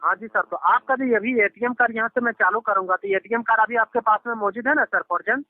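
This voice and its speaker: A man's voice: sits high, quick pace, no echo. A man on a phone call in the role of bank support, talking in an insistent, repetitive, reassuring way and addressing the listener formally. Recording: lo-fi telephone line recording. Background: phone call audio.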